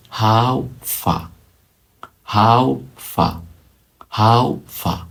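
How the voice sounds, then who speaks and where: A man teaching a beginner language lesson, drilling each phrase slowly and repeating it several times. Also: lowish voice, darkish tone. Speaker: English teacher.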